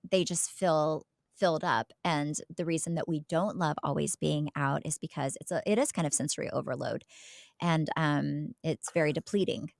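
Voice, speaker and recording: A woman's voice: sits low, creaky, dry recording, studio quality. A woman co-hosting a podcast in casual, candid conversation. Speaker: podcast co-host.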